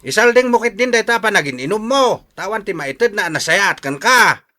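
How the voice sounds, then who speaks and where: A man's voice: high voice, animated, fairly quick, bright, forceful tone. An actor with a Filipino accent playing an exasperated older father who gives blunt, scolding advice in a radio drama.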